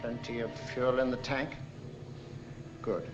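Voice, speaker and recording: A man's voice: medium pitch, fairly even intonation, slow, lightly acted. An actor in a 1940s film playing a cold, suave male villain who speaks with mocking politeness. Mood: gloating. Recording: lo-fi 1940s film soundtrack with loud music.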